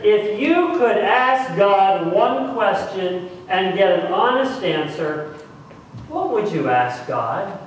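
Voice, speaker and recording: A middle-aged man preaching a sermon in a church, deliberately, with dramatic pauses and repeated phrases for emphasis. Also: fairly high pitch. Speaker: pastor. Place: church sanctuary, speaking at the front. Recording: echoey church, distant mic, faint noise.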